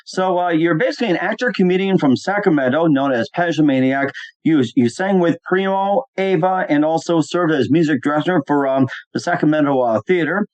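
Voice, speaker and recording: A man's voice: fairly high pitch, fairly quick, a little mellow. A man hosting an interview show, introducing his guest showman-style but stopping often for fillers and restarts. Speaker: talk show host. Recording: dull lo-fi sound.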